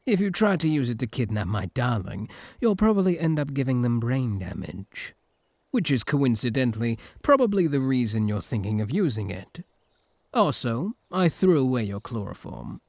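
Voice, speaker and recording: A man's voice: mid-range voice, sing-song, normal speed, creaky, darkish tone, dry recording. A man with a British accent playing a smug, teasing, possessive incubus in a comic audio roleplay. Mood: smug, taunting. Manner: mocking, flirtatious banter.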